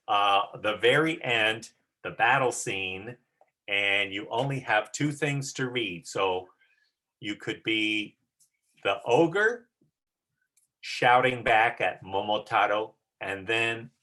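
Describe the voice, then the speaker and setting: A drama teacher giving deliberate, emphatic instruction in short phrases during an online rehearsal. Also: medium pitch, sharp tone. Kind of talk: instructional talk on a video call.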